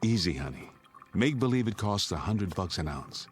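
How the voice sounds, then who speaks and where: Low-voiced, urgent American father. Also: big pitch swings, moderate pace. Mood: steadying, tense. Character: urgent, steadying father and husband taking charge. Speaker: actor in a radio drama. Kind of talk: dramatic radio acting.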